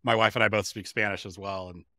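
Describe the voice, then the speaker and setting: A man's voice: on the low side, fairly even intonation, fast talker, bright, forceful tone, no room sound, studio quality. A man from San Diego co-hosting a podcast, asking curious questions and telling light anecdotes in casual chat. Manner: curious, jokey interviewer. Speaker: podcast co-host.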